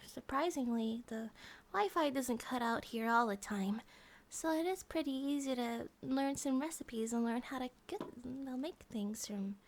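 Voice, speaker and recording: A woman voicing a gentle, affectionate monster-girl bear wife in a soft ASMR comfort roleplay, often dropping to a whisper. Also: on the high side, measured pace, a little hiss or hum. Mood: casual, gentle.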